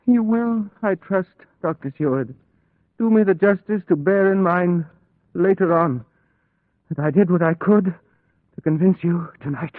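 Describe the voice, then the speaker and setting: An earnest madman in a vintage radio drama. His very high male voice pleads with formal, precise courtesy. The sound is dry and narrow, like an old broadcast. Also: a little slow, dry recording. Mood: despairing dignity. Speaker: actor in a radio play.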